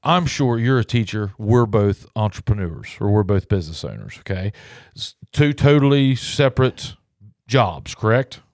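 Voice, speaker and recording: A man's voice: on the low side, animated, moderate pace, darkish tone, no echo, clean studio sound. A man in his forties hosting a casual podcast, talking in a rambling way that circles back and rephrases. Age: 40s. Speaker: podcast host.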